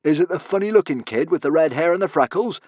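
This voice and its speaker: A man voicing a maniacal, gloating Viking villain for a storybook, bellowing in drawn-out, grandiose phrases. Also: on the high side, lively intonation, darkish tone, dry recording, highs cut off, voice pushed up. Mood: gloating menace.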